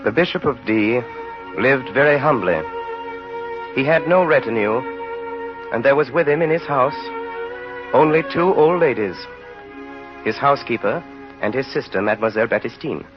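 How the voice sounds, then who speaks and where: A man narrating a 1930s radio drama in a grave, measured storytelling manner. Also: medium pitch, animated, music in the background, natural voice. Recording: muffled old radio recording.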